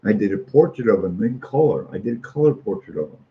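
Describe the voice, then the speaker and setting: Middle-aged American man, folksy and opinionated casual talker with a gravelly fry, on muffled lo-fi audio. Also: lowish voice, lively intonation, fast. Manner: folksy, opinionated, repeats phrases for emphasis. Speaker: street artist. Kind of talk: casual rambling monologue. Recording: muffled lo-fi audio with faint noise.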